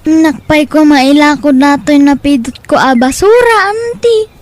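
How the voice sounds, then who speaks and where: A poor little boy in ilocano radio drama, really high voice, slow n sincere, ilocano accent. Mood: respectful, dutiful. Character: Simon, poor dutiful young boy who sings. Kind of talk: Ilocano audio drama acting.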